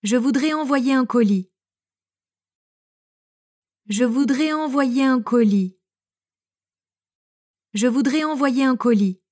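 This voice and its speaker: A woman narrator reading French phrases for learners, clearly and evenly, each one repeated. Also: high voice, takes it slowly, long pauses, darkish tone. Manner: reads each phrase three times, evenly.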